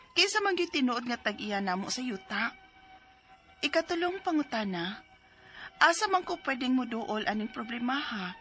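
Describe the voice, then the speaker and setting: A woman's voice: on the high side, a bit bright. A woman with a Cebuano accent playing a distressed woman in her thirties, pleading for legal advice in a dramatized letter. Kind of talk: dramatized reading of a listener's letter.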